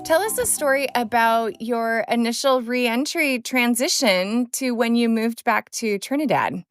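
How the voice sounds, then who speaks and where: A woman hosts a podcast interview in a fairly high voice. She asks her questions slowly and deliberately. Faint music plays underneath her. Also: clear, resonant tone. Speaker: podcast host.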